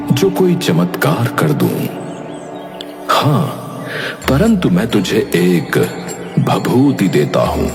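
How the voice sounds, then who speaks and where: A man voicing a kindly old holy man who gives gentle advice. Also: low voice, full character voice. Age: older. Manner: slow, gentle, advising. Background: background music.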